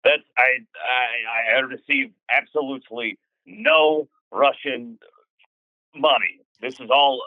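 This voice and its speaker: A man's voice: mid-range voice, slow pace, no room sound, phone-quality sound, natural voice. A man playing a shady Scottish real estate swindler with a put-on Scottish accent, stammering and sly. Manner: stammering, sly deadpan, patter. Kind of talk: improvised comedy bit.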